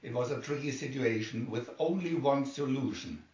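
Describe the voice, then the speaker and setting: A man's voice: medium pitch, normal speed, a little mellow. A man reading a scripted adventure story aloud as a dramatic narrator. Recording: muffled, echoey room, distant mic.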